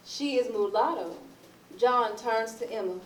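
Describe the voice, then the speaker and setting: A woman's voice: on the high side, a little slow. A woman reading stage directions as scripted narration for a readers theatre play. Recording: roomy, distant, noisy recording.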